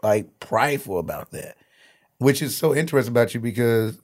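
A man's voice: mid-range voice, expressive, brisk. A man hosting a podcast in a loose, slangy, casual chat, laughing often. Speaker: podcast host.

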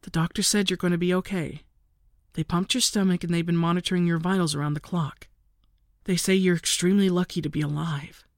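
A narrator putting on a soft-spoken middle-aged mother, gentle and hushed, her words slipping into whispers as she comforts her child. The voice sits a touch higher than the narrator's own and is recorded close and dry. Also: fairly even intonation, fairly quick, no room sound, natural voice.

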